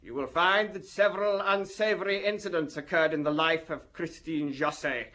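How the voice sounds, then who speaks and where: An actor playing a pompous defense lawyer addressing the press in a radio drama, theatrical, deliberate and insinuating. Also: male voice, a little high, sharp tone. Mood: grandly insinuating. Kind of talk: radio drama acting, press conference speech.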